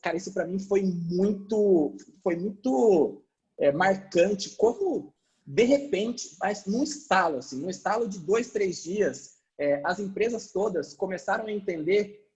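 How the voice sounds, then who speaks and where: A man's voice, pitched a little higher than most men's, comes through a video call. He explains his views animatedly, and his sentences keep trailing into fillers. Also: lively intonation, unhurried, a little mellow, muffled recording. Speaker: panelist. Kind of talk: webinar panel discussion.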